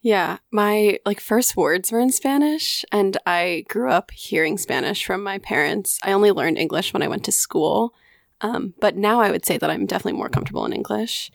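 A woman's voice: medium pitch, normal speed, creaky, no echo, studio quality. A woman chatting casually in a group, hesitant and full of "like" fillers. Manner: relaxed, hesitant, many "like" fillers.

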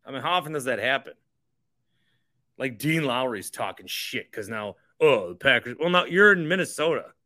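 A man's voice: mid-range voice, animated, moderate pace, pushed and bright, no room sound, clean studio sound. A man hosting a sports podcast, talking casually in an opinionated, blunt manner. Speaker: podcast host.

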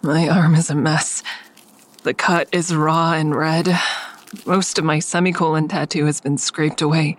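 A low-voiced, weary, defiant heroine. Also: female voice, flat delivery, measured pace. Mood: weary, grim. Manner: weary, grim, blunt. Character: exhausted, defiant woman narrating her own ordeal. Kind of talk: first-person dramatic narration in audio drama.

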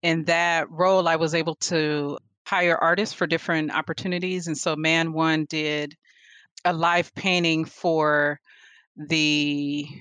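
A woman's voice: sits low, unhurried, smooth, no room sound. A woman in her forties, a guest artist telling stories in a casual interview, laughing often. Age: 40s. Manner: animated storyteller, laughs often.

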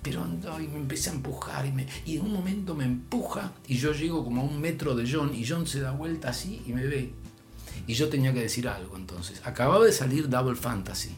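An older man from Argentina with an Argentine Spanish accent, telling a long story in a relaxed chat, his voice rising and dropping as he goes. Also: medium pitch, fairly quick, gravelly, faint music behind. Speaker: storyteller guest. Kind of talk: casual anecdote.

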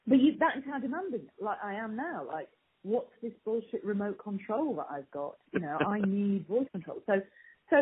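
Husky woman on a thin phone-like line. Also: mid-range voice. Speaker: marketing expert guest. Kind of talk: informal interview answers.

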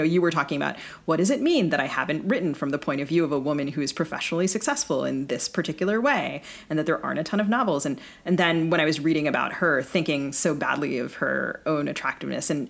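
A woman's voice: low-pitched, creaky, husky. A woman co-hosting a literary podcast, speaking conversationally in long, winding sentences. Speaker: podcast co-host. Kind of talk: literary interview discussion.

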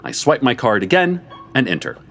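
A man reading a comic story aloud before a live audience, with expressive, animated storytelling and a deadpan edge. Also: medium pitch, moderate pace, a little hiss or hum. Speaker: story reader.

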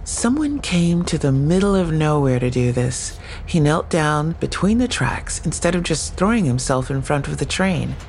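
A man's voice: on the high side, big pitch swings, moderate pace, few pauses, a little mellow, a little hiss or hum, low-quality recording. An actor playing a persistent male detective who keeps questioning a case. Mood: probing. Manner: questioning, insistent.

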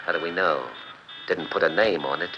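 Man playing a deep-voiced, wary gang boss. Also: brisk, music in the background, close to an everyday voice. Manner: terse, guarded. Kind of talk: 1940s radio drama acting. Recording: noisy, muffled old radio broadcast.

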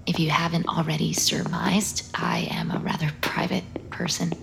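A woman's voice: low voice, little pitch movement, normal speed, gravelly, background noise. An actress playing a secretive, aloof vampire woman who speaks in a curt, cool, formal way. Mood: aloof, dismissive. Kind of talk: audio drama acting.

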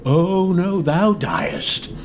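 A man's voice: high-pitched, huge pitch swings, drawn-out words, full character voice. An actor playing a dying old nobleman who mocks his own name and scolds the king, laughing bitterly through his lines in a classical stage style. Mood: reproachful.

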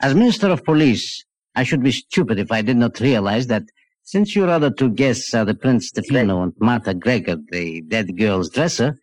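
An actor playing a stern, sceptical minister of police, formal and officious, in 1940s radio drama style. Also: male voice, medium pitch, expressive, moderate pace, darkish tone, dry recording, lightly acted, low-quality recording. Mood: formal, suspicious.

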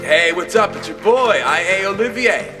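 A man's voice: sits high, lively intonation, moderate pace, long reverb tail, recorded from a distance, music in the background. An actor playing a defeated, hapless man in a comedy audio drama, insistent and prone to stammering. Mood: showy confession. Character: defeated, overweight, hapless man posing as a criminal.

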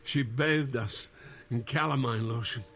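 An actor playing a forgetful brother reaching for memories, halting and unsure, in naturalistic audio drama. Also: male voice, medium pitch, vocal fry, a bit bright, soft background music. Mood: nostalgic.

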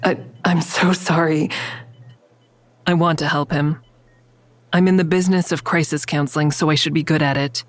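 Female crisis counselor, played by an actress: lower-pitched, close dry sound, earnest and uneasy, introspective audio drama narration. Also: fairly even intonation, moderate pace, pauses often, no room sound, lightly acted. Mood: gentle, hesitant. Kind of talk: first-person audio drama narration and dialogue.

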